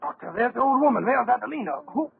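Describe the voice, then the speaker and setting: Casting: awed male bystander, high, excitable swoops, vintage radio horror, tinny and very noisy. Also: fast, creaky, heavily acted. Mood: startled, bewildered. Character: awestruck onlooker proclaiming a miracle. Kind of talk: 1930s radio horror acting.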